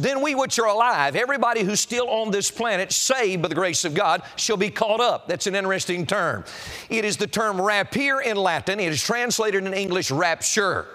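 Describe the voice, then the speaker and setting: Male preacher, high voice, evangelistic sermon, emphatic, declamatory, solemn warnings, addressing a congregation. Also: fairly bright tone. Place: auditorium, preaching to a seated congregation.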